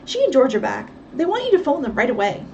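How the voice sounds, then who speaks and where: A woman doing a shrill fussy housemaid. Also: huge pitch swings, a little mellow, recorded from a distance, dull audio, slight background noise. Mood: chatty. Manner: high-pitched, hurried, fussy. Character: excitable shrill young housemaid.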